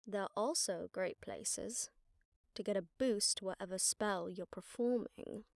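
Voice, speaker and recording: A woman's voice: mid-range voice, unhurried, vocal fry, no room sound, clean studio sound. A woman playing a jaded, sardonic witch detective who sees visions of death, talking conversationally to a partner in a roleplay audio drama. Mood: explanatory, subdued.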